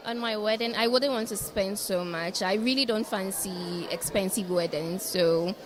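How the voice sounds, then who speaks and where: A woman's voice: medium pitch, normal speed, slight background noise. A woman from Ghana answering a street interviewer's questions, thinking aloud and repeating herself as she explains.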